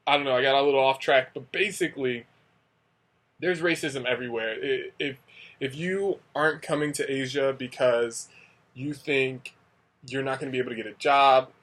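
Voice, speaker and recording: A man's voice: mid-range voice, normal speed, fairly bright tone. A young man from the United States who teaches English in Asia, talking casually and rambling with lots of fillers. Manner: rambling, conversational, many fillers. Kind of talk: casual talking-head vlog.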